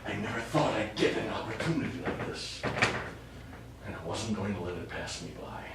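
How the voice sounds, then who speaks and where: A man's voice: fairly low pitch, animated, moderate pace, natural voice. A man on stage playing a wry, wistful astronaut who is secretly an alien with a scheme. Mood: musing, quietly satisfied. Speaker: stage actor in a one-act play. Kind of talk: live stage drama monologue. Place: small indoor studio theatre stage. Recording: echoey small theatre, distant mic, faint noise.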